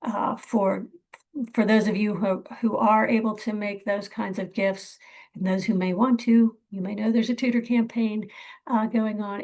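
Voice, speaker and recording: Female nonprofit leader, gravelly edge, informal and appreciative presenting style. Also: a little high, a little mellow. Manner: appreciative, thanking. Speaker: nonprofit chief executive presenting.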